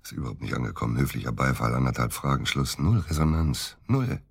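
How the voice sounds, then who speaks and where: An actor playing a disgruntled middle-aged provincial surgeon, grumbling and curt, in a naturalistic radio drama. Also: male voice, extremely low pitch, expressive, a little fast, creaky, slightly rough, no echo, full character voice. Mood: bitter, grumbling. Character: disgruntled middle-aged provincial surgeon, worried about his missing wife.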